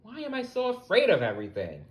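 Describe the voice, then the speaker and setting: A man's voice: high voice, wildly swooping pitch, quick pace, pitched above the performer's own voice. A man voicing a small young cowardly spider in a storybook, wordy, formal and fretful. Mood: nervous. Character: small young scaredy spider, polite, big-worded, easily frightened.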